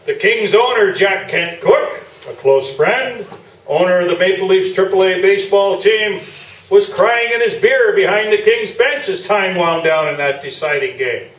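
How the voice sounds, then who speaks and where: Guy doing a puffed up old sports columnist, highish voice, boasting and dodging, radio play on a stage, roomy far-off mic. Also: animated, moderate pace, fairly bright tone. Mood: wistful, rambling. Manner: boastful, blustering, rambling. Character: pompous, evasive, self-important old male sports columnist. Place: small theatre stage, live performance. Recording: echoey stage, distant camera mic.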